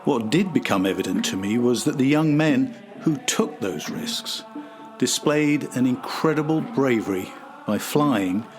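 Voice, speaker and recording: A man narrates a documentary podcast in a scripted, storytelling style. His voice sits at a medium pitch, with music playing behind him. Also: animated, a little slow.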